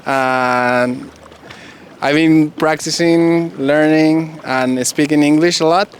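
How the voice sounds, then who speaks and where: A man whose first language is Spanish, hosting a relaxed conversation and speaking thoughtfully in English while searching for words. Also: on the high side, takes it slowly, slight background noise. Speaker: podcast host. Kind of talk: casual conversation.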